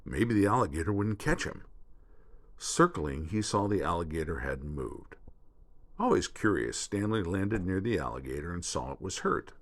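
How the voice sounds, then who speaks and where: A man giving an expressive, dramatic reading of a children's story in a deliberate way. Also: deep voice. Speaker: storybook reader.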